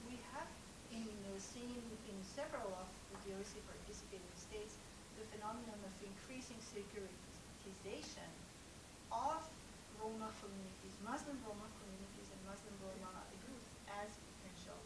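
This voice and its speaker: A woman answers a question on a conference panel in a measured way, often pausing on fillers as she builds her point. She sounds set back from the mic in a roomy hall, with a clear hiss running underneath. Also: mid-range voice, far from the mic. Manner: measured, halting with frequent fillers. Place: conference room.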